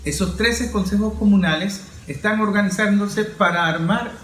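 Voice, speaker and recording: A man's voice: sits high, normal speed. A man giving didactic, emphatic political commentary, addressing the listener directly. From Venezuela. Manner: didactic, emphatic, rhetorical questions. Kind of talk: explanatory political commentary to camera. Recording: roomy echo, faint background noise.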